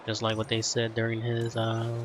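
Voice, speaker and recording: Man, let's play commentary, casual, medium-pitched male voice, clearly noisy recording. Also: monotone, normal speed. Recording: loud background noise and game audio. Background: video game sound, noise.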